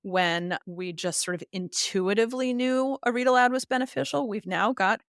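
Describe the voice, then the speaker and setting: A woman's voice: on the high side, normal speed, clear, resonant tone, dry recording, clean studio sound. A woman giving articulate, earnest explanations as an expert guest in an interview. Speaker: literacy expert and author, podcast guest.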